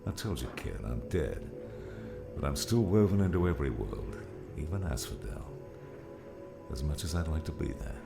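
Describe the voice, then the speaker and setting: A man voicing a gruff, wry, fatherly old mentor who teases without hurry, in an audiobook. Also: very animated, moderate pace, pauses often, loud music behind the voice, full character voice. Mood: wry, resigned. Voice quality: deep, gravelly.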